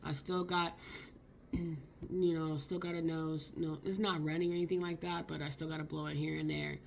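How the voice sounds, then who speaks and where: A woman, hoarse from a cold, telling a casual, slangy story for her vlog. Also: deep voice, normal speed, slight background noise. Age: 50s. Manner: chatty, expressive, slangy. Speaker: vlogger.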